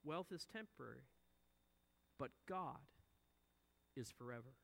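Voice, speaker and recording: A man's voice: mid-range voice, animated, a little slow, gravelly, fairly bright tone. A man in his forties preaching a sermon, earnest, swelling and dropping his volume for emphasis. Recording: long hall reverb.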